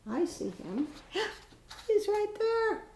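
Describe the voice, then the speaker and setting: Slow, creaky-voiced older woman reading a storybook. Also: extremely high pitch, big pitch swings, a little mellow. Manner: slow, dramatic, with drawn-out pauses and stressed words. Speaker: grandmother storyteller. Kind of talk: expressive read-aloud of a children's picture book. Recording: roomy echo with faint background noise.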